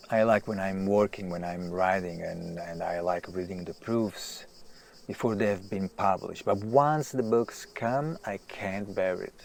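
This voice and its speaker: Man acting a brooding, gravelly, low-voiced tormented writer. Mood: self-mocking, frustrated. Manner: brooding, intense, swinging between hushed and emphatic. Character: anguished, self-doubting famous male writer, restless and self-deprecating. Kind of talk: naturalistic audio drama monologue. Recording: clear background hiss, outdoor nature ambience.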